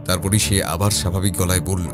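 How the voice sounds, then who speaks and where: A man playing a composed detective who recounts his investigation in a measured, explanatory first-person narration. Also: low-pitched, flat delivery, lightly acted. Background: background music.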